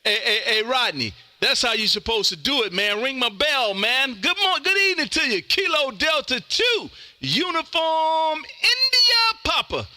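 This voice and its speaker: American male radio host, high pitched and very bright, folksy and emphatic, recorded dry and close. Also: very animated, a little slow, dry recording. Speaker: ham radio show host. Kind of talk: lively amateur radio on-air chat.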